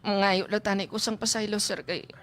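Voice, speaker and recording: A woman's voice: medium pitch, fairly even intonation, normal speed, fairly bright tone, close to an everyday voice. A woman with a Visayan accent playing a shy, troubled office employee in a comedic radio drama, hesitant and deferential. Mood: polite, apologetic. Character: shy, troubled female office employee confiding in her boss.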